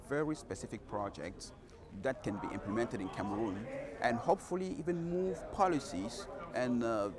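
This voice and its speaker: A man answering an interview question at a conference, earnest and pausing with fillers. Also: lowish voice. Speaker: congress participant. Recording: crowd noise behind.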